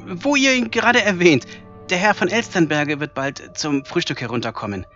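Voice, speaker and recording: A man's voice: high voice, lively intonation, moderate pace, pushed and bright, lo-fi sound, soft background music, full character voice. A man playing an anxious, deferential valet in a fantasy audio drama, eager to please. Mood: worried, apologetic. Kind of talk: fan-made fantasy audio drama acting.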